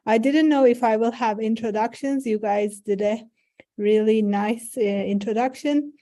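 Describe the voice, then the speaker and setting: Woman, middle-aged, fairly high voice, mellow tone, friendly explanatory lecture, video call. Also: a little slow. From Turkey. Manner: friendly, explanatory, many fillers. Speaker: professor giving an invited talk. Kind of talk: online conference presentation.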